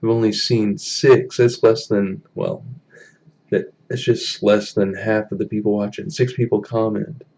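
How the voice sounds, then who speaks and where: A young man with a deep voice chatting casually on a live stream from a small room, halting with many ums as he reads the chat. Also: flat delivery, a little mellow. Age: young adult.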